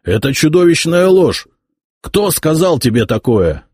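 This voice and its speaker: A man voicing an old prince-bishop who speaks calmly and carefully. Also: on the low side, slightly rough, no echo, clean studio sound. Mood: indignant. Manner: calm, measured. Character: old male prince-bishop, calm and guarded. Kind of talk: audiobook character voicing.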